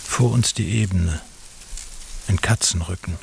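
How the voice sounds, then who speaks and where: An actor playing a grave narrator who recites poetic prose solemnly and deliberately in a radio play. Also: male voice, low voice, creaky, exaggerated voice. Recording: faint hiss.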